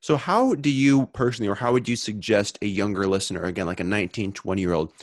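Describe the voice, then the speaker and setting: A soft-spoken male host with a lowish voice, asking gentle follow-up questions. The sound is very dry and close to the mic, with no room around it. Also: quick pace, no echo. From Wisconsin, US. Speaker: podcast host. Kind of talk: interviewing a guest.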